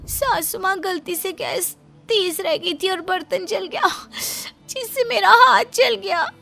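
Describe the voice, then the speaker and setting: A woman voicing a gentle young daughter-in-law in a cartoon, pleading and in pain. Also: very high voice, slow, vocal fry, full character voice. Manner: pleading, pained. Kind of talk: Hindi cartoon dubbing. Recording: faint background music.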